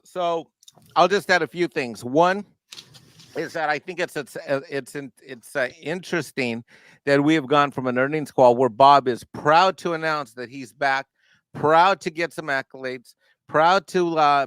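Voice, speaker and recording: A man's voice: on the high side, fairly bright tone, clean tone, no room sound. A man chatting as a guest on a live stream panel, with a bantering, sarcastic manner. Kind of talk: casual chat and commentary.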